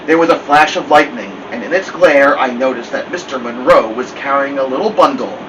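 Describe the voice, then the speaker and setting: A man's voice: medium pitch, a little fast, lightly acted. A man voicing a wry, dignified old family dog in first-person storybook narration, with formal, digressive wording. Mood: wry, reflective. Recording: muffled, distant, noisy room hum.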